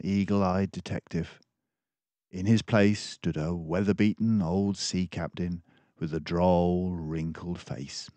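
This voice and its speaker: An older man narrating a children's book aloud as an expressive storyteller. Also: low voice, no echo.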